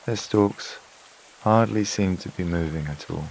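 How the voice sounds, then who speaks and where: A man narrating a scripted sleep meditation in a calm, soothing, unhurried way, with long pauses between phrases. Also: deep voice, gravelly, a little hiss or hum, poor audio. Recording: water and rain sounds behind voice. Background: flowing stream, rain-like ambience.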